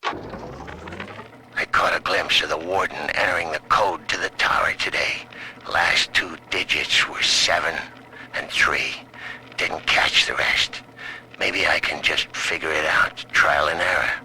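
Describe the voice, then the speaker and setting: A man's voice: rumbling low, fairly even intonation, takes it slowly, pushed and bright, a little hiss or hum, heavily acted, rough recording. A man playing a musing, plotting prison inmate in a video game audio log.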